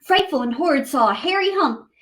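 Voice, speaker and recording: A woman's voice: high voice, lively intonation, brisk, fairly bright tone. A woman reading a picture book aloud to children expressively, with chatty asides to young listeners. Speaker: storytime host reading to children.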